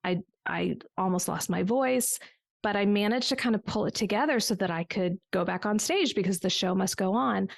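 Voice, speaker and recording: Gravelly-voiced woman telling her story. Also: mid-range voice, normal speed, no room sound, clean studio sound. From United States. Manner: reflective storytelling with small restarts. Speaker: business coach guest. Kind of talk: conversational podcast interview.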